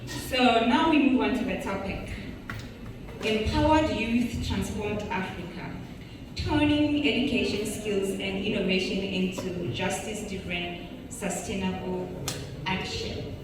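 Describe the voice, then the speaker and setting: A woman's voice: mid-range voice, measured pace. A young woman from Botswana moderating a panel in a formal way. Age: young adult. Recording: very echoey hall, distant mic, noisy.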